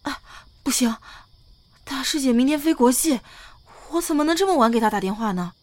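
An actress voicing a timid, flustered young lover in an audio drama, bewildered and fretting as they protest innocence. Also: female voice, high voice, animated, fairly quick, darkish tone, dry recording. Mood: anxious fretting. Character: timid, flustered, anxious lovestruck young junior. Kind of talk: modern audio drama acting. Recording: music behind the voice.

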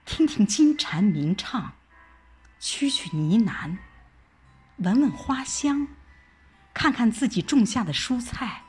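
Female audio drama narrator, reflective and unhurried, highly melodic phrasing, soft music bed. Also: breathy edge. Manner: expressive, reflective recitation with deliberate pauses. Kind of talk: dramatic scripted first-person narration. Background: background music.

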